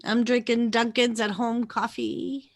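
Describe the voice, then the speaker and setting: A woman's voice: on the high side, little pitch movement, unhurried, no room sound, studio quality. A woman in a casual group chat, joking and teasing the others.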